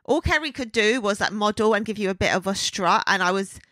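Bright, frank woman. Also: medium pitch, animated, a little fast, dry recording. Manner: frank, opinionated, laughs easily. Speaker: podcast co-host. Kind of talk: casual chat reviewing a TV show.

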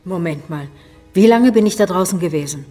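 A woman's voice: lowish voice, lively intonation, a little fast, mellow, faint music behind. An actress in an audio drama playing a traumatised but fierce space survivor and mother. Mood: shaken, grieving.

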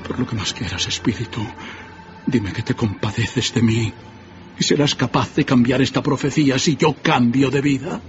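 An actor playing a repentant old miser, fervent and exclamatory, in a theatrical radio drama. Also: male voice, medium pitch, moderate pace, close to an everyday voice. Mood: desperate pleading. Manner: exclamatory, emotional, repeats words. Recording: dull band-limited audio, music underneath.

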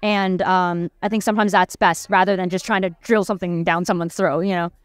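A young woman in her twenties talks into an interviewer's handheld mic at a premiere. Her voice is smooth and clear, the sound dry and close. She muses through her answers with fillers and restarts. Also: lowish voice, fairly even intonation, a little fast, no room sound. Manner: thoughtful, many fillers and restarts. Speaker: actor interviewed on a red carpet. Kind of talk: casual red-carpet interview. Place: busy premiere red carpet, indoors. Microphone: handheld mic held by interviewer.

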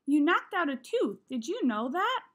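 A stern but kindly school principal voiced by a woman reader in a storybook. He reasons in a measured, forgiving way, in a fairly high voice. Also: very animated, a bit bright, heavily acted. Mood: grave, concerned.

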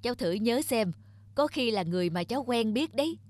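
A woman's voice: fairly high pitch, expressive, brisk, darkish tone, no echo, natural voice. A woman voicing a nosy, kindly middle-aged neighbor in a cartoon, chatty and familiar. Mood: curious, teasing. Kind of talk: cartoon voice acting.

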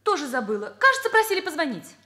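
A woman's voice: sits high, very animated, fast, sharp tone, heavily acted. An actress playing a forgetful young secretary in a radio drama, girlish, apologetic and pleading. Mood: flustered, apologetic. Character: flustered, forgetful, wheedling young secretary girl.

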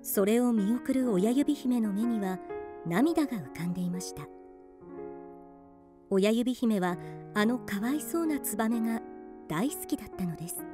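Fairly high-voiced woman narrator over music. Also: expressive, moderate pace. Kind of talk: scripted storybook narration in a radio drama.

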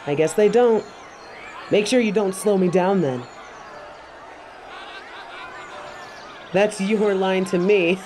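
Woman as confident tiger warrior woman, stern, wry, matter-of-fact, mid-pitched, audio drama, clear ambient noise. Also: mellow, natural voice. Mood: wry, amused. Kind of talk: scripted audio roleplay. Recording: clear ambient sound effects behind voice.

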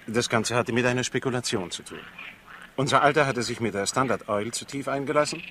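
A man's voice: mid-range voice, fairly quick, close to an everyday voice. An actor playing a wry, aggrieved British intelligence man who recounts affairs in a 1960s radio crime play. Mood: explaining, correcting. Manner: wry, opinionated, storytelling. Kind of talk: 1960s German-language radio crime drama acting. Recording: faintly noisy old radio recording.

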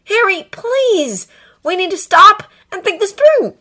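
A woman's voice: extremely high pitch, sing-song, takes it slowly, bright, forceful tone, pitched above the performer's own voice, heavily acted. A woman voicing a teenage wizard boy who pleads and argues urgently in a raised voice. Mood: urgent pleading.

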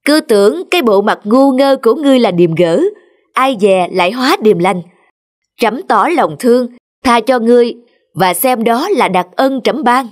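A woman voicing an imperious, haughty king in an animated folk tale, pompous and commanding. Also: fairly high pitch, moderate pace, lightly acted. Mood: condescending. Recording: music under the voice.

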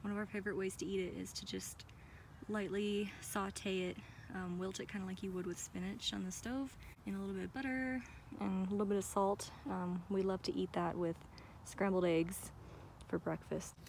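A woman's voice: mid-range voice, steady tone, normal speed, vocal fry, a little mellow, a little hiss or hum. An American woman giving a casual, chatty and enthusiastic walk-through narration as a vlogger, recorded outdoors on a handheld phone. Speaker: vlogger giving a garden tour. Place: outdoors in a backyard garden. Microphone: handheld phone behind the camera.

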